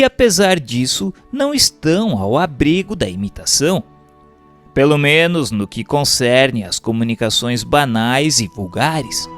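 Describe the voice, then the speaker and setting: A Brazilian man reads a formal text as a scripted narration, in a measured and carefully phrased manner. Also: a little high, fairly bright tone. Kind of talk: scripted audiobook-style reading of a doctrinal text.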